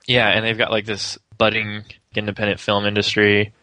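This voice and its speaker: A man's voice: lowish voice, completely flat delivery, moderate pace, sharp tone, no room sound. A man co-hosting a podcast in casual chat, joking and riffing playfully on ideas. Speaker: podcast co-host.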